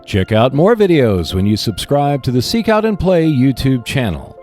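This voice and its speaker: Man with lively pitch reading podcast announcements. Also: normal speed, soft background music. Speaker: podcast host. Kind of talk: scripted announcements for a hobby gaming podcast.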